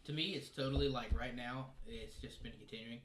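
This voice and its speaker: Man, podcast host, sharp bright tone, slangy, joking, opinionated, roomy recording, audible background noise. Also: medium pitch, fairly even intonation, a little fast. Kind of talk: casual opinionated group chat.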